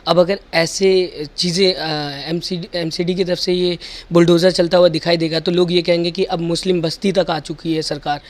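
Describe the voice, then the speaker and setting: Interviewer, flat and narrow intonation, conversational news questioning. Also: mid-range voice, moderate pace, hardly any pauses.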